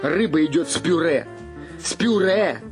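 A man's voice: on the high side, animated, measured pace. An eccentric, stubborn father over music. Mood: grumbling. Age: middle-aged. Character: eccentric, stubborn middle-aged artist father, grumbling dreamer. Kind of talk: radio play acting. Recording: music under the voice.

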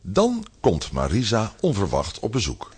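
A man narrating a children's radio play from a script, with a husky voice and animated storytelling. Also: on the low side, unhurried.

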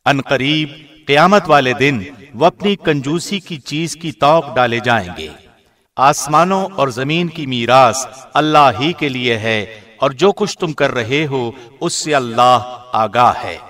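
Male reader, medium pitch, formal and solemn scripture narration, measured delivery. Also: a bit bright. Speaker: translation reader, radio host.

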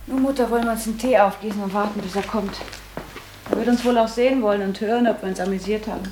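A self-assured, practical daughter played by a woman in a German radio play. Her medium-pitched voice is matter-of-fact and calmly dismissive, heard in a roomy space with some background noise. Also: fast, natural voice.

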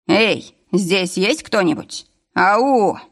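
A woman's voice: on the low side, normal speed, lightly acted. Woman acting out bold, jokey big man. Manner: bantering, boastful.

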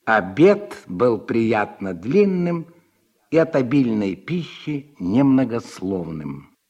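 A man narrating a literary radio drama in a measured, expressive storytelling manner. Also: mid-range voice. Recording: husky-sounding old radio recording with music.

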